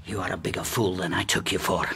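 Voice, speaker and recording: A man's voice: low voice, fairly even intonation, fast, gravelly, pushed and bright, slight background noise, heavily acted. An actor playing a stern, sarcastic middle-aged father and businessman in a formal period radio drama. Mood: scornful.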